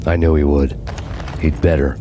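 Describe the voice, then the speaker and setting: An actor playing a world-weary, hard-boiled cop who narrates a noir game in a grave, deliberate way. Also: male voice, extremely low pitch, level tone, background noise. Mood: grim, weary. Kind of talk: film noir scripted narration. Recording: music behind the voice.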